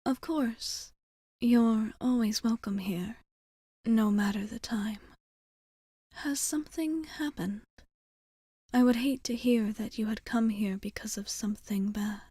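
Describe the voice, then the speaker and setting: A woman playing a tender, old-souled vampire bartender in a whispered ASMR roleplay, speaking softly, deliberately and a little formally, with affection. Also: fairly high pitch, dry recording. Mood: caring, concerned. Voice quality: soft, breathy whisper.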